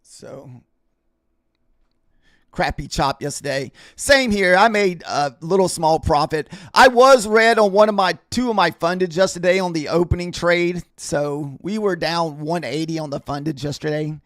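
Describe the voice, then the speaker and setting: Guy rambling on his trading stream, bit scratchy, higher voice, dry close sound. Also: unhurried, fairly bright tone, no echo. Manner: rambling, chatty, talks to viewers in chat. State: scratchy voice, clearing throat. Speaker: trading livestream host. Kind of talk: casual live-stream chat.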